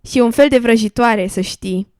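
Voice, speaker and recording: A woman's voice: a little high, a little mellow, dry recording, clean studio sound, close to an everyday voice. A woman playing an encouraging little female frog in an educational radio drama, coaxing and reassuring, a hopeful believer in magic. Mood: reassuring, intriguing.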